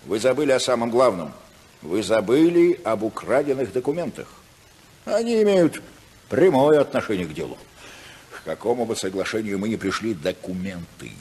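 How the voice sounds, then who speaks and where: An actor playing a cynical, scheming senator husband with a sardonic, theatrical, mock-polite manner in a 1950s Soviet radio drama. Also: male voice, fairly low pitch, big pitch swings, normal speed, a little mellow, breathy edge. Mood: bargaining, cajoling. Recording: 1950s radio recording, long hall reverb.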